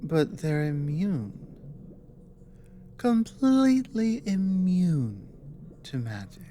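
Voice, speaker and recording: Slow, mellow war-weary dragon woman, acted out. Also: lowish voice, big pitch swings, a little hiss or hum, heavily acted. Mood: troubled, weary. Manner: halting, earnest, dramatic pauses. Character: female dragon, grave war-weary survivor urging discipline. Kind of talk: ASMR roleplay audio drama.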